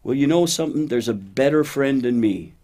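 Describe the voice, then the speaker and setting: A man voicing a steady, kindly cow who talks plainly and patiently, gently teaching, in a children's puppet show. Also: medium pitch, fairly quick, natural voice. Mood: gently instructive. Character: steady, faithful cow, a wise kindly friend.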